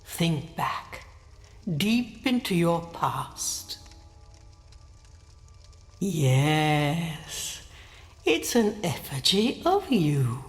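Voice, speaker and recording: A woman voicing an eerie old crone who mocks and cackles, speaking in a slow, gravelly drawl. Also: sits low, very animated, full character voice. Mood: eerie mocking. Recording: long hall reverb with faint music.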